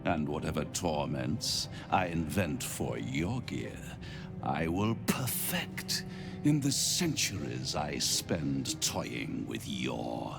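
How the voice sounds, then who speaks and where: An actor playing a suave, cruel devil lord in a video game, with grand, menacing, drawn-out delivery. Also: male voice, rumbling low, gravelly, full character voice. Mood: cold fury. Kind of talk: dramatic video game villain acting.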